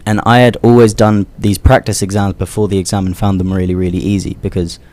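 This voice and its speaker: Low-voiced young man. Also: fast. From United Kingdom. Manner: animated, explains patiently, many fillers. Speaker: guest. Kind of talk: casual storytelling.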